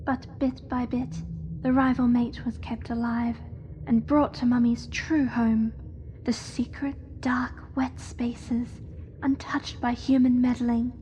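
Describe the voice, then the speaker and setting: An eerily innocent young spider creature from a horror audio drama. The voice is high and soft-edged, with very little rise and fall. It speaks in a hushed, measured, devoted way over noisy ambience. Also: female voice, level tone, highs cut off. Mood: quiet, devoted.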